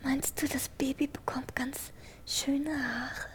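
High-voiced frightened young pregnant prisoner. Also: female voice, level tone, slow pace, whispered. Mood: hushed, worried. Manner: anxious, pleading, clinging to reassurance. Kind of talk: naturalistic radio drama acting.

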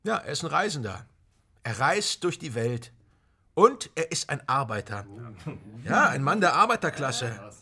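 An actor playing a squatter leader who agitates in a declamatory, emphatic way in a German radio play. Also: male voice, mid-range voice, big pitch swings, sharp tone, slightly rough, exaggerated voice. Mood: presenting, proud. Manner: declamatory, oratorical, emphatic pauses. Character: rousing male squatter leader agitating against speculators. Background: guitar music.

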